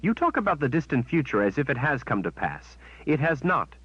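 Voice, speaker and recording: Man voicing a defiant young woman, high, indignant, accusing, lively pitch, dull dry recording. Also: a little fast, breathy edge, no echo. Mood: insistent.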